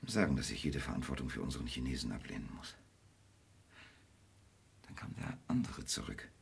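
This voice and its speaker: An actor playing a brooding, detached gentleman in a radio drama, speaking reflectively and often hushed, with sudden urgency. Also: a bass voice, sing-song, fairly quick, gravelly, slightly rough, exaggerated voice. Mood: wry, reporting. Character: brooding, detached gentleman in love with an endangered woman.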